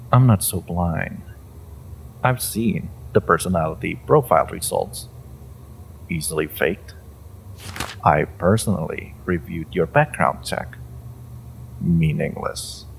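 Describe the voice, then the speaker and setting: Man playing a menacing male interrogator, low gravelly voice, sardonic, pointed pauses, audio drama, faint background noise. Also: heavily acted, low-quality recording. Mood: cold suspicion. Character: menacing, suspicious male agency interrogator, coldly patient. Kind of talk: slow dramatic monologue, audio roleplay.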